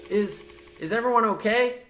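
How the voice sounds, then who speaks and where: An actor playing an exasperated, officious mine investigator in a comic radio play, with a stern, accusatory manner. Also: male voice, high voice, normal speed, over loud music, natural voice. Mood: urgent. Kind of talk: old-time radio play parody acting.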